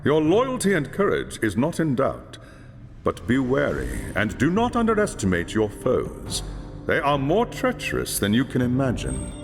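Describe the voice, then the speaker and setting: A man's voice: mid-range voice, huge pitch swings, moderate pace. An actor playing a stern elder male elf lore master, grave and commanding as he gives orders. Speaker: quest giver. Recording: reverberant with game music behind.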